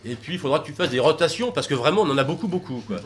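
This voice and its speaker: A man's voice: fairly high pitch, animated, very fast. Rambling French man with fillers. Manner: rambling, frequent hesitations and fillers. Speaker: sailing educator for troubled youth. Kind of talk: informal talk with audience questions.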